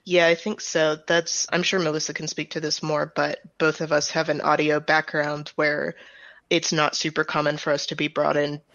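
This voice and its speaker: Creaky-voiced woman in interview. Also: sits low, flat delivery, normal speed, a bit bright, no room sound. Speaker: audio drama writer and director, guest. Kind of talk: conversational interview answers. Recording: slightly dull, band-limited close recording.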